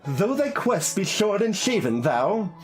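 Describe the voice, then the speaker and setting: Haunted gothic scholar, performed by a man: solemn, measured verse with dramatic rises and falls. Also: sits high, sing-song, faint music behind, pitched above the performer's own voice. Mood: dramatic, intent. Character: brooding, haunted scholar narrating a gothic poem. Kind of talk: dramatic poetry recitation.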